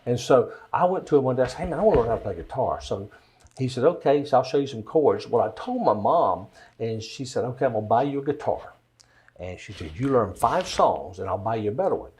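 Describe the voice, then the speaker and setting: A man's voice: medium pitch, animated, quick pace, a little mellow. Southern American man, folksy storyteller. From Georgia, United States. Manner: folksy, rambling, anecdotal. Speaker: interview guest, songwriter and singer. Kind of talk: casual interview storytelling.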